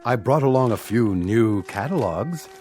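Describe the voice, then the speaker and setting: A man's voice: mid-range voice, lively intonation, a little slow, darkish tone, faint music behind, natural voice. An actor playing an eager, wheedling luxury salesman in a radio drama. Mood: pushy, upbeat.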